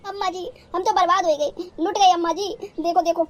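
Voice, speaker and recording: A woman's voice: very high-pitched, fairly even intonation, brisk, heavy background noise. A woman playing a naive, dramatic, talkative young village bride in a cartoon, with a rural North Indian accent and a rambling, guileless manner. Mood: indignant. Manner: rambling, guileless, theatrically indignant. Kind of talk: cartoon story dialogue in rustic dialect.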